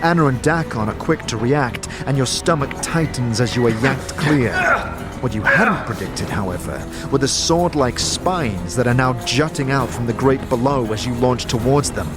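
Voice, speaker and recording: A man's voice: mid-range voice, moderate pace. A man narrating a fantasy audio drama in a vivid, suspenseful, scripted storytelling style. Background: music.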